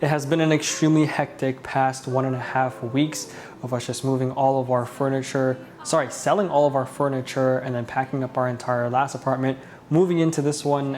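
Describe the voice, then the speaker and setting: A man's voice: medium pitch, level tone, brisk, a little mellow. A man with a mild head cold talking casually as the host of a home tour vlog, indoors in an empty living room.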